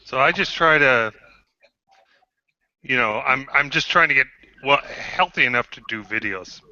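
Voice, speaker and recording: A man in his fifties, a guest on an online panel, speaking haltingly with many pauses and restarts. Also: medium pitch, bright, forceful tone, dry recording, dull audio. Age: 50s. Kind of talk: casual group chat.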